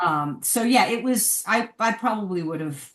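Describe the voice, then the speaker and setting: A woman's voice: medium pitch, animated, moderate pace. A woman guest on a podcast, chatting casually and candidly about her opinions. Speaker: podcast guest, television writer. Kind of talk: casual panel chat. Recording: roomy, echoey sound.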